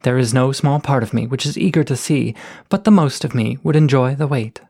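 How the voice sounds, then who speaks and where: Guy doing a flirty coyote poet in an audio story, creaky voice, teasing n flowery, reading love letters. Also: medium pitch, a little fast, darkish tone. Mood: lyrical longing. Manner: teasing, florid, lyrical.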